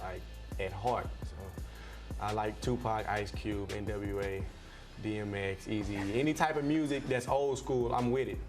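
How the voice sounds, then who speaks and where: A man's voice: lowish voice, fairly even intonation, a little slow. A seventeen-year-old man chatting casually and slangily in an interview as a basketball player, often repeating his phrases. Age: teenager, seventeen. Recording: music bed behind the voice.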